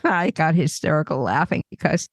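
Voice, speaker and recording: An older woman co-hosting a podcast, telling stories in a lively, conversational way. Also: sits low, moderate pace, husky, no room sound, clean studio sound. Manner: animated storyteller, acts out remembered dialogue. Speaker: podcast co-host. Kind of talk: casual conversation.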